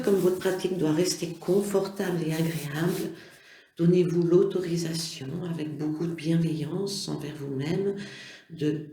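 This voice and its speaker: A yoga nidra instructor leads a guided relaxation at a very unhurried pace. The voice is soft-edged and mellow, with gentle, measured phrasing and pauses. It sits in a roomy, echoing sound. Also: mid-range voice. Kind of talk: slow guided relaxation meditation.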